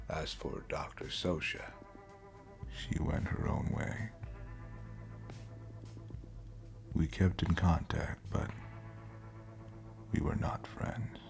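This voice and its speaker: An ancient, coldly resolute space captain speaks in an extremely low, breathy voice. He is brooding and homesick, and every line comes slowly and solemnly, as audio drama over clear background music. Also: heavily acted. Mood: somber. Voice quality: gravelly, breathy near-whisper. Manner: slow, solemn, deliberate storytelling. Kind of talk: scripted audio roleplay drama.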